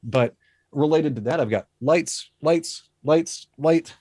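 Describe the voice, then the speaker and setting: A man with a low voice, an esports caster talking through his home setup in an easygoing, detailed way over a video call. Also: slow pace, background noise. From Texas. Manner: easygoing, detailed, rambling explanations. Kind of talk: casual interview chat over video call. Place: home broadcast setup room. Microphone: studio dynamic mic on video call.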